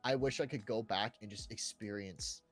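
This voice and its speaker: A man's voice: fairly low pitch, normal speed, fairly bright tone. A man co-hosting a podcast, chatting casually and enthusiastically about favourite games, often saying "like" and "right". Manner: enthusiastic, peppers talk with "right" and "like". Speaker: podcast co-host. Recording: background music under the talk. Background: background music.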